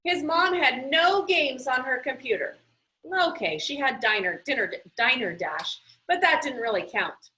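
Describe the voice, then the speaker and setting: A woman with a high, bright voice narrates a children's story aloud. Her delivery is expressive and animated, with pitch that moves widely and clear emphasis on asides. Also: measured pace, low-quality recording. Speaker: reader reading a children's book aloud.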